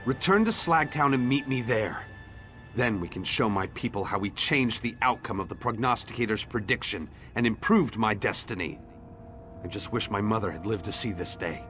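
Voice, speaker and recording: A man's voice: lowish voice, expressive, moderate pace, sharp tone. Man voicing an earnest, hopeful townsman over music. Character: hopeful, grateful young townsman defying fate and rallying his people. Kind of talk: scripted video game dialogue. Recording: background music under the voice.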